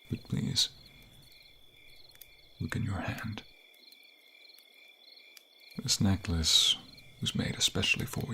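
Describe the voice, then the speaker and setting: A man performs a tender fantasy king in an intimate ASMR role play. His voice is low and gravelly, often breathy, with courtly, formal phrasing. Faint night insects sit behind him. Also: bright, forceful tone, a little hiss or hum, full character voice. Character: tender young king confiding in his secret lover.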